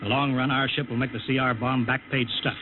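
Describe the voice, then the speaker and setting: A man's voice: medium pitch, flat delivery, fast, lightly acted. An actor playing a male project chief who briefs a test pilot in a 1950s radio drama, urgent and emphatic. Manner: urgent, emphatic, gruffly joking. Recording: lo-fi old radio recording with hiss.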